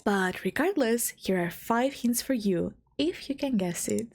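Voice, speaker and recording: A woman's voice: fairly high pitch, animated, unhurried, vocal fry, a little mellow. A young woman from the Balkans with a slight Albanian accent, a VTuber scolding herself between recording takes, with a blocked nose. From Balkans, Southeastern Europe. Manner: frazzled, scolds herself, giggly, restarts lines. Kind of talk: casual self-talk between recording takes. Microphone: home recording mic.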